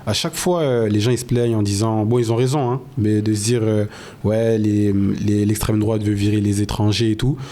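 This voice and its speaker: A man's voice: fairly low pitch, steady tone, moderate pace, warm tone. A man hosting a podcast interview, asking questions in a casual, hesitant way with many fillers. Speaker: podcast host.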